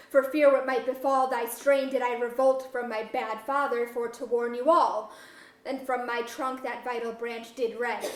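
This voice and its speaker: A woman acts a proud and bitter ruler in a staged verse play. The voice is high and imperious, declaiming old-fashioned lines in an echoey auditorium. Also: fairly bright tone. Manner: imperious, declamatory, archaic phrasing. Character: proud, embittered human ruler who lost an arm. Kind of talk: staged reading of verse drama.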